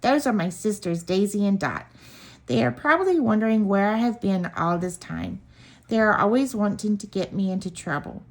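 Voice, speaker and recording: A woman voicing a cheeky, confident young male animal cub in a storybook, excitable and mischievous. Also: medium pitch, normal speed. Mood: chatty.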